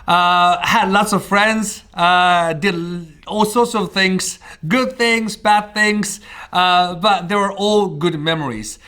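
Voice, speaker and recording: A Japanese man in his thirties talks to camera in English with a British-tinged accent, pausing often on "uh." His voice sits fairly high and swings up and down as he talks. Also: a bit bright. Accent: Japanese speaker of English with British traces. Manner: chatty, frequent "uh" fillers, playful. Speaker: vlogger. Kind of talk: casual personal storytelling to camera.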